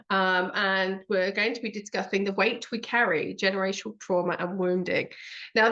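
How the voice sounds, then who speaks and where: A woman's voice: on the low side, fairly bright tone, roomy sound, dull audio. A woman hosting an online talk, congested with a blocked nose, chatty and earnest with frequent ums. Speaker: host. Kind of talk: live online talk with slides.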